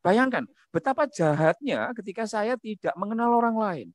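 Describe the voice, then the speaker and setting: A man giving a public talk, animated, posing quiz questions to the audience. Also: high-pitched, moderate pace, no echo, clean studio sound. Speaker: speaker at a discussion.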